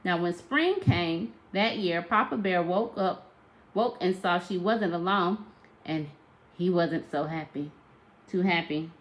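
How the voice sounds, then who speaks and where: Expressive woman reading a story aloud. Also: on the low side, slow pace, poor audio. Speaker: teacher reading aloud to children. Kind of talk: storybook read-aloud narration of a tall tale.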